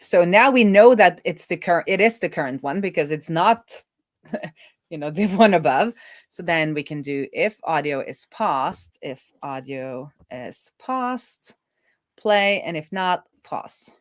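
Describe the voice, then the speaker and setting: Woman, low voice, dry close sound, casual coding host, think-aloud delivery, rephrases as she goes. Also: expressive, slow, no echo. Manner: thinks out loud, trailing and rephrasing. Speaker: host, web developer live coding.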